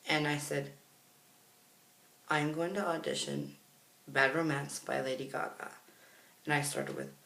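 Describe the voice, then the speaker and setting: A man's voice: on the high side, fairly even intonation, a little slow, gravelly, long pauses, sharp tone. A man telling a personal story in a casual, chatty vlog style, acting out what other people said as he goes. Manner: chatty, reenacts others' words. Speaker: vlogger. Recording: roomy, echoey room sound.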